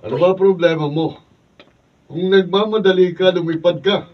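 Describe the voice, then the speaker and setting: Radio drama villain: male actor as arrogant, threatening Filipino policeman, high-pitched, faintly noisy recording. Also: steady tone, moderate pace, lightly acted. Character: menacing, arrogant policeman spoiling for a fight. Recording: muffled, noisy behind-the-scenes studio video.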